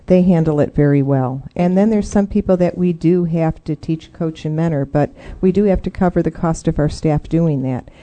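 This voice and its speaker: Very dark voice, measured, explaining policy, public meeting. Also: medium pitch, muffled recording. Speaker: staff member presenting to a board.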